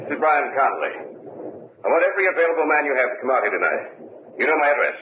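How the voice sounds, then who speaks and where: A man's voice: a little high, sing-song, fast talker. An actor playing a troubled, take-charge man in a 1940s radio horror drama, with urgent, clipped delivery. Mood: urgent resolve. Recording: tinny 1940s radio recording, faint noise.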